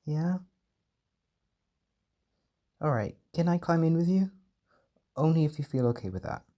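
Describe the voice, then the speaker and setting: A man performing a gentle, doting caretaker in an intimate comfort roleplay, speaking softly and reassuringly with tender pet names. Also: on the high side, animated, unhurried. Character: gentle, doting male caretaker soothing an overwhelmed partner.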